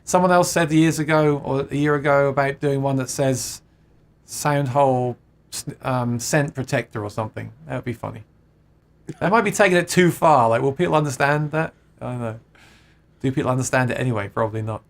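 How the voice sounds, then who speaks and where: A man talks loosely through a live chat stream, reading out comments from viewers. His pitch sits in the middle range, and he often laughs and breaks off to restart a thought. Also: brisk, gravelly. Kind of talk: casual chat about guitars.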